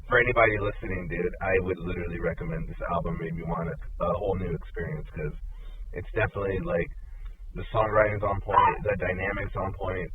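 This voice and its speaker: A man's voice: sits low, fast talker. A man in his thirties hosting an interview, enthusiastic and slangy, often stammering and saying "dude". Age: 30s. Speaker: interview host. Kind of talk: casual podcast interview. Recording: lo-fi phone-like audio with light hiss.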